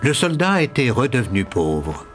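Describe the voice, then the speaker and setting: A man narrating an animated fairy tale as a scripted storyteller. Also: medium pitch, moderate pace, over music, natural voice. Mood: storytelling.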